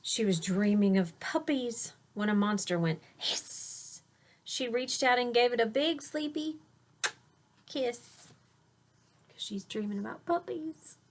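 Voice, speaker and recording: Slow-paced woman reading a storybook to children. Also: a little high. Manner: expressive, emphasizes rhymes and key words, explains words simply for young listeners. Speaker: teacher reading a picture book aloud to children. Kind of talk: storybook read-aloud with simple explanatory asides.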